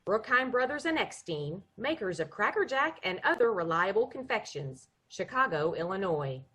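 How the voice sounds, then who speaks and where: A woman's voice: mid-range voice, big pitch swings, moderate pace, a bit bright, slightly rough. Woman reading a vintage radio ad. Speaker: announcer.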